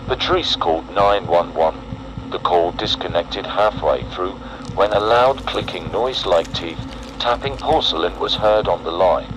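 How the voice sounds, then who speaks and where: Low-voiced man, ominous emergency-broadcast narration, noisy recording. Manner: solemn, deliberate, ominous. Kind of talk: scripted analog horror emergency-broadcast narration.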